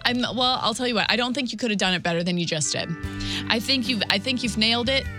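A woman's voice: fairly high pitch, fast, over music. A woman with an American accent bantering casually as a radio co-host.

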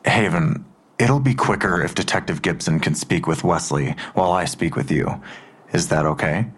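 An actor playing a calm, cordial and persuasive police detective with a husky voice in an audio drama. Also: male voice, low-pitched, normal speed. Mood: conciliatory. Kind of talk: full-cast audio drama acting.

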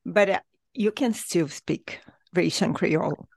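A woman's voice: on the low side, slow pace, creaky, a little mellow, breathy edge, no echo. Dry-sounding woman podcast host. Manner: asks questions, short encouraging replies. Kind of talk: podcast interview.

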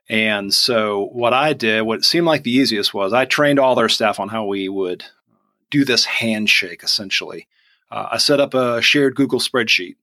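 A man in his forties with a Southern accent, telling stories in a relaxed interview and acting out quoted dialogue. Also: medium pitch, dry recording. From Georgia, US. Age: 40s. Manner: animated storyteller, acts out quoted dialogue. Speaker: guest, online onion seller. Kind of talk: casual interview chat.

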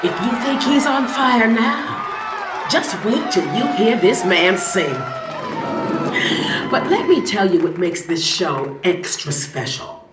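Female Broadway co-producer: exasperated, sardonic, very animated but unhurried, heard from a distance in a roomy space. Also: mid-range voice, very noisy. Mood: bright, presenting. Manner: sardonic, emphatic. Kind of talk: scripted audio comedy acting. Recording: roomy, distant, music and crowd behind.

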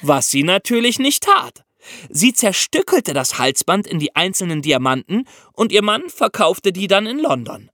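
Animated man telling history stories. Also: high voice, moderate pace, no room sound, clean studio sound. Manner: conversational, expressive storyteller. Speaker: podcast host. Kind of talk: lively storytelling of history for young listeners.

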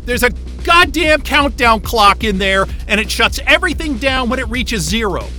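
Trapped male scientist, audio drama, male actor: agitated, foul-mouthed, forceful high voice, music underneath. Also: very animated, moderate pace, heavily acted. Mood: angry, frustrated. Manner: agitated, profane, combative. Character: hot-tempered, sarcastic male astrophysicist trapped in a remote station.

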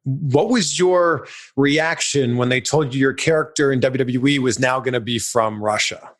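A man with a mid-pitched voice hosts an interview. He asks his questions in a relaxed, friendly, conversational way. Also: normal speed.

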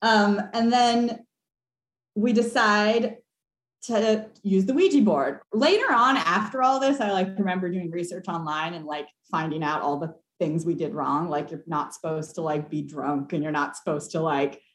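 A young woman telling a ghost story on a podcast call, animated and laughing, with lots of fillers. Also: fairly low pitch, moderate pace. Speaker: caller. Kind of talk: casual storytelling. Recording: echoey room.

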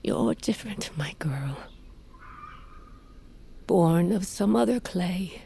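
A slow, low, stern warrior queen. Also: animated, gravelly, warm tone, breathy edge, exaggerated voice. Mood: weary, resolute. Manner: solemn, slow, commanding storytelling. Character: stern ruthless ailing warrior queen, mother of grown daughter. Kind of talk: dramatic audio fiction acting.

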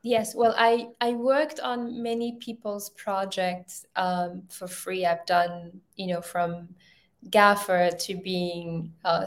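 A woman in her thirties from Ethiopia, talking in a thoughtful, halting way with many restarts, as an interview guest on a video call. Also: fairly low pitch. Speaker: filmmaker guest.

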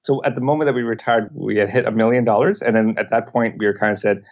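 A man's voice: lowish voice, steady tone, fast talker. A man in his thirties with a Canadian accent, chatting casually as a podcast guest over a remote recording. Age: 30s. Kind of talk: casual interview chat. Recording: dull, lo-fi remote audio.

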